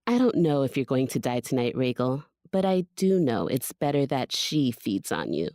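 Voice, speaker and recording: A woman's voice: extremely low pitch, very animated, normal speed, warm tone, no room sound, studio quality. A woman playing a curt, condescending vampire servant in an audio drama, with a haughty, teasing, commanding manner. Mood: mocking, impatient.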